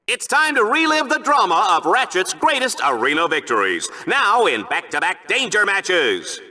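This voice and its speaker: Cheesy smug video game sports announcer dude, high bright voice, really swoopy. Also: male voice, very animated, normal speed, full character voice. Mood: showy. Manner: hammy, showy, smug. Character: vain, goofy, bombastic male game-show arena commentator. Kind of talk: bombastic arena commentary.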